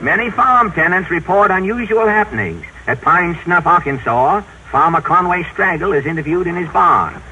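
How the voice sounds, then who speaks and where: Bright, high-pitched male radio announcer. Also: animated, normal speed, few pauses. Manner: declamatory, formal delivery. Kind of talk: 1930s newsreel-style scripted announcing. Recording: muffled noisy 1930s radio recording.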